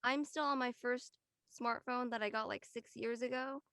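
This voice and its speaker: Higher-pitched woman, close dry sound. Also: steady tone, normal speed, fairly bright tone, dry recording. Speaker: panelist. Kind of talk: casual panel discussion.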